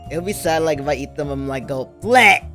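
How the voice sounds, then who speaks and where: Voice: medium pitch, expressive, a little fast, a bit bright. A stream host in casual chat, asking questions in an easygoing, curious way. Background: background music.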